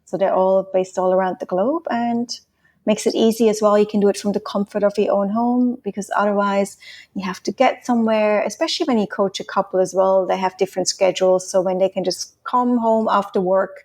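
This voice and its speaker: A woman's voice: medium pitch, fairly even intonation, a little fast, a little mellow. A woman from Switzerland whose first language is Swiss German, a relationship coach answering podcast questions in flowing, easygoing explanations with a ready laugh.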